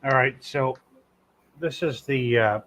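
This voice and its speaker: A man with a husky voice chatting casually as he tastes drinks. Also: medium pitch, takes it slowly, a bit bright. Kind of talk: casual whiskey-tasting chat.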